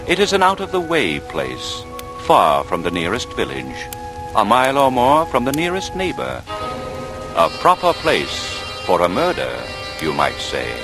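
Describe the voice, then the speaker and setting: An actor playing a wry, ominous storyteller, narrating a vintage radio drama in a measured, suspenseful way. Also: male voice, medium pitch, huge pitch swings. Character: ominous, wry storyteller setting a murder scene. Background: music under the voice.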